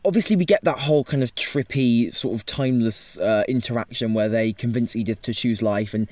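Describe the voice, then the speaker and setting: A man's voice: medium pitch, fairly quick, darkish tone, no echo. A man with a mid-range voice speaks informally as a podcast panelist. He takes a roundabout route through his thoughts, restarting often and peppering them with "like". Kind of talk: casual discussion.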